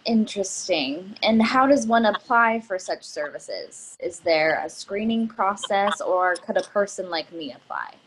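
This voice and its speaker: A woman's voice: mid-range voice, animated, measured pace, hardly any pauses, slight background noise, close to an everyday voice, poor audio. A woman playing a direct, businesslike young professional in a play reading, formal and pointed as she questions. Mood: skeptical, pressing.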